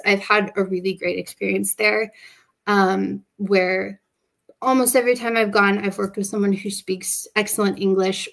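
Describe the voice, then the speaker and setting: A woman's voice: mid-range voice, creaky. A young woman in her twenties, a master's student, casually presenting her study program on a video call with lots of fillers and "like". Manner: relaxed, frequent fillers and "like". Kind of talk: casual presentation at an online open day.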